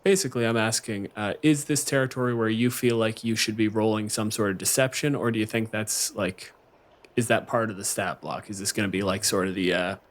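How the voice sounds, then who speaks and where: A man's voice: on the low side, fairly even intonation, fairly quick. A man speaking as game master, running a tabletop roleplaying game in conversational narration.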